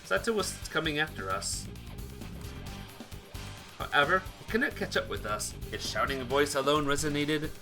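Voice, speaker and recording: Guy reading a visual novel out loud, dramatic n animated, trips on words, music in the background. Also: on the high side, normal speed, pauses often. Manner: stumbles over words while reading. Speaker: gaming playthrough host. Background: game music.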